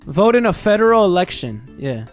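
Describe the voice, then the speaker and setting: An American man livestreaming in casual chat, excitable and sweary, reacting and reading things aloud. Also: high-pitched, normal speed, faint music behind. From Texas, United States. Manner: excitable, swearing, jumps from muttering to shrieks. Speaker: livestream host.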